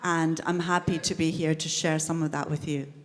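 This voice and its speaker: A woman's voice: sits low, level tone, normal speed, heavy background noise. A woman from Iran, an activist spokesperson, introducing herself on a panel. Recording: echoey hall, amplified voice.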